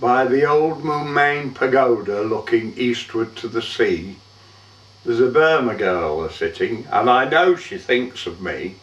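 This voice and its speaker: Echoey, slow-paced man reciting verse. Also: mid-range voice, expressive, a bit bright. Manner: measured, theatrical, drawn-out line endings. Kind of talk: dramatic poetry recitation, monologue. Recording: dull, echoey room, far from mic.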